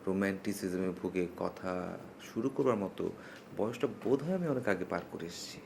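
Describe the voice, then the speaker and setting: An actor playing a mature, exacting man in a Bangla television drama, speaking in a measured, halting, pedantic way. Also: low-pitched, animated, creaky, noisy background. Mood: annoyed, dry. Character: mature, exacting, reluctantly charmed man woken at night.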